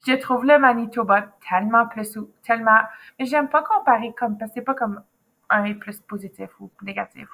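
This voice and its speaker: A woman teacher with a softened Québécois accent, chatting casually as an interview guest, full of fillers and restarts. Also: fairly high pitch, normal speed, poor audio. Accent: Québécois French, softened. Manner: chatty, many fillers and restarts, trailing off into asides. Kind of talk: casual chat.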